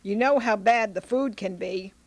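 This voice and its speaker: A woman's voice: medium pitch, moderate pace, no room sound, lightly acted. A woman playing a doting, self-sacrificing elderly mother in an old-time radio drama. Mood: fussing, insistent. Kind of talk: Golden Age radio drama acting.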